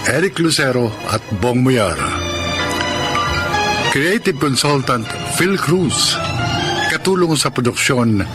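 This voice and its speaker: A man with a Filipino accent announcing a radio drama in a measured, theatrical, scripted style. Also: medium pitch.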